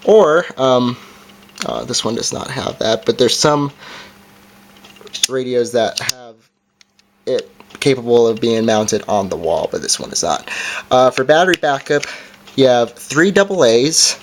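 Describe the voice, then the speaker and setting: A man giving a casual, halting hands-on gadget demonstration in an unscripted way. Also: medium pitch. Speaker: product reviewer. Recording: noisy amateur home recording, clicks.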